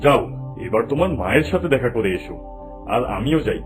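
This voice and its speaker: A man voicing a dignified, doting king and father in an animated fairy tale, benevolent and stately. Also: medium pitch, fairly quick, music in the background, natural voice. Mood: reassuring.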